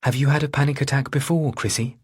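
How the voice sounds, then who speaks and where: A man's voice: mid-range voice, fairly even intonation, fast talker, husky, voice pushed up. Man voicing a friendly, reassuring woman interviewer.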